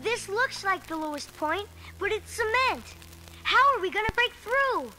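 An eager, adventurous young boy talks in a very high, lively voice that swoops with excitement. He sounds earnest and determined. Music plays behind him on this storybook record. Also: unhurried, low-quality recording.

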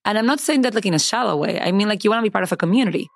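A woman with a slight accent of unclear origin co-hosting a podcast, talking casually and quickly with lots of fillers. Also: medium pitch, vocal fry, dry recording, studio quality. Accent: ambiguous foreign accent. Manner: lively, quick, many "like" fillers. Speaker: podcast co-host. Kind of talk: casual chat.

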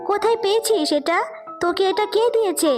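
A woman's voice: very high-pitched, a little fast, over music. A woman playing a stern, suspicious mother in a children's cartoon story. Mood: questioning.

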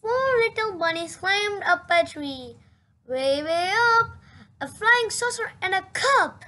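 A very high, bright young voice reads a picture book aloud as storyteller. The reading is very slow and lively, with sound words exclaimed dramatically.

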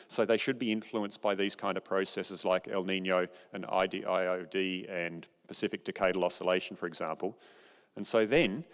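A middle-aged man giving a conference keynote lecture from a podium on a large stage, explaining in an informal, conversational way. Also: deep voice. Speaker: keynote speaker. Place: large dark auditorium stage. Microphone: podium microphone, far camera. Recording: long hall reverb.

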